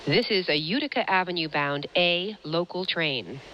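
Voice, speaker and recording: A woman's voice: on the low side, lively intonation, moderate pace, fairly bright tone, rough recording. A woman reading scripted transit announcements in a formal, phrase-by-phrase way. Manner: clear, formal, clipped phrase-by-phrase delivery. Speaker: train announcer. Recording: faint background noise, recorded aboard trains.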